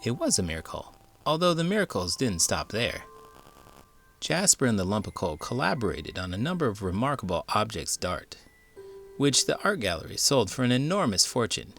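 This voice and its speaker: A man narrates a children's story as a read-aloud host. His voice is fairly low and very animated, with theatrical expression. Music plays behind him. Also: moderate pace.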